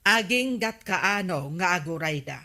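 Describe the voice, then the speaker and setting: A nagging village captain's wife in an Ilocano-accented radio comedy. Her voice is sharp and animated, insistent and exasperated with her husband. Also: fairly low pitch, measured pace, heavily acted. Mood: scolding.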